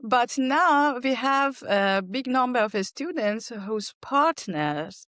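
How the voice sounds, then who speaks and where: A woman's voice: fairly high pitch, animated, slow pace, a bit bright, dry recording, studio quality. A woman from Iran with an Iranian accent, a native Farsi speaker, answering interview questions conversationally in hesitant, non-native English. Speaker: guest, language teacher and archaeologist.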